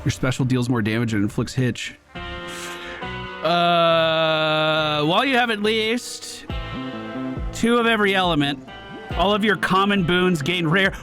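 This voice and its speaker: A young man commentating his own video game run, excitable and quick to hype big moments. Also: on the high side. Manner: excitable, shouts and hypes when things go well. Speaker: gaming streamer. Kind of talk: let's play commentary. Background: game music.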